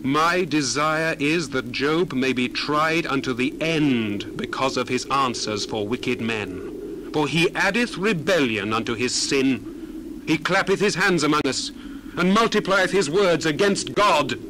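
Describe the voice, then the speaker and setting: A man's voice: fairly high pitch, measured pace, background noise, close to an everyday voice. A man playing an earnest, admonishing biblical orator in a solemn, declamatory dramatized scripture reading. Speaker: dramatized Bible reader.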